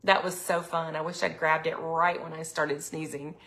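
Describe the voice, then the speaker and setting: A middle-aged woman, chatting casually on a live sales stream, her throat a little raspy from allergies. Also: low voice, little pitch movement, normal speed, a bit bright. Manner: chatty, lots of ums, reads viewer comments aloud. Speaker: product consultant hosting a live sales stream.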